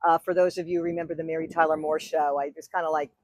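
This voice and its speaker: A woman's voice: low-pitched, little pitch movement, fast talker, a little mellow. A woman in her sixties with a New York accent, telling her story as a wedding officiant on a podcast, chatty and full of fillers. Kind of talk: podcast interview.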